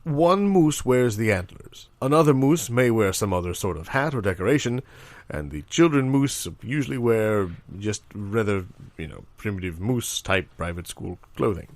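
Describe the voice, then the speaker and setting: Male, actor as self-important florid doctor, lowish voice, swooping emphasis, improv audio comedy. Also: expressive, normal speed, no echo. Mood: lofty, explaining. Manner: pompous, florid, alliterative. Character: pompous, long-winded male honorary doctor and moose-obsessed minister.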